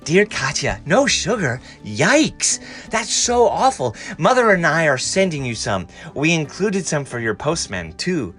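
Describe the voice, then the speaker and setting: A man's voice: fairly high pitch, sing-song, a little slow, few pauses, fairly bright tone, faint music behind, voice pushed up. A man voicing a friendly American girl in a storybook, chirpy and sympathetic as letters are read out. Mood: bright, sympathetic.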